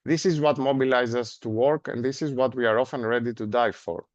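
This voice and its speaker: A man with a Greek accent giving an academic seminar lecture. He speaks in a measured way, with frequent "uh" fillers and a rhetorical "no?" at the end of points. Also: medium pitch, fairly even intonation, dry recording. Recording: dry, dull lo-fi sound.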